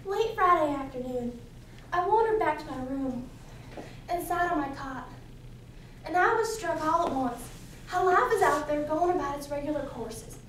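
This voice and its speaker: Guilt-ridden runaway girl, fourteen played by a woman: high, intense, theatrical stage monologue in a very echoey room. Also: animated, normal speed, fairly bright tone. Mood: anxious resolve. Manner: intense, theatrical first-person storytelling. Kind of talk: dramatic interpretation monologue. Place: indoor competition room, distant camera. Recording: echoey room from far off, noisy.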